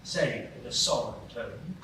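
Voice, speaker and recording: A man narrating a storybook tale in a measured, storytelling way, as part of a live radio play. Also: medium pitch, animated, bright, forceful tone. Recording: echoey hall, distant mic, noisy.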